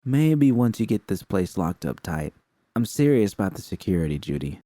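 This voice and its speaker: A man's voice: sits low, big pitch swings, moderate pace, mellow, dry recording, studio quality. A man voicing a tough, blunt young woman mercenary who speaks with teasing caution.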